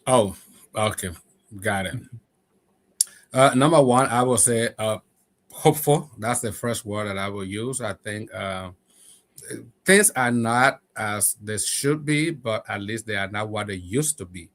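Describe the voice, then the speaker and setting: A man's voice: on the low side, unhurried. A man speaking as a guest on a panel, earnest and upbeat in a casual discussion. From Liberia.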